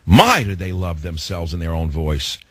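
A man hosting a talk radio show, delivering a sarcastic, indignant monologue with theatrical swings in pitch. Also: big pitch swings, moderate pace, a bit bright, husky, dry recording, studio quality.

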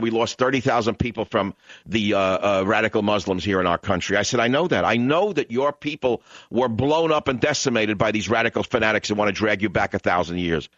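A man's voice: lowish voice, a bit bright, no room sound. A man hosting a call-in radio talk show, emphatic and combative, repeating lines for effect. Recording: dull radio broadcast sound.

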